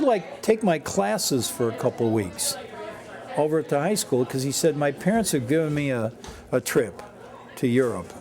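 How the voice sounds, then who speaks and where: A man in his seventies with an American accent, a teacher reminiscing casually in a folksy, halting way with short phrases. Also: a little high. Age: 70s. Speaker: high school teacher.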